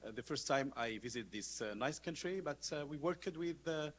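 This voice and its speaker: Man from Morocco, panelist, unhurried and halting, faint hum behind. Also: a little high, animated, a bit bright. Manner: halting, with many "uh" pauses, switching between Spanish and English. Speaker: law professor, panelist. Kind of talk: conference speech.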